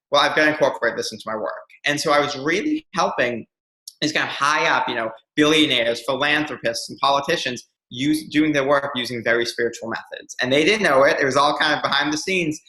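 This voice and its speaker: A man's voice: medium pitch, sharp tone, reverberant, low-quality recording. A young man speaking as an interview guest, telling a personal story in an earnest, reflective way with frequent ums.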